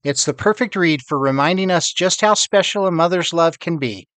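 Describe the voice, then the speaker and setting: A man's voice: fairly high pitch, normal speed, fairly bright tone, no room sound. An older man hosting a children's readalong, speaking expressively to young listeners and families. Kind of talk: storytime narration.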